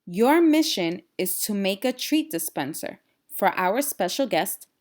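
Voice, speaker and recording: Woman as an unhurried, encouraging science teacher. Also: medium pitch, sing-song.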